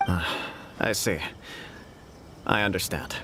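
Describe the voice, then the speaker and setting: A man acting a dignified official in a video game, speaking in a formal, polite and measured way. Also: low voice, background noise. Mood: courteous, composed. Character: courteous, dignified male shogunate official. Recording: voice over loud background music. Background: game music.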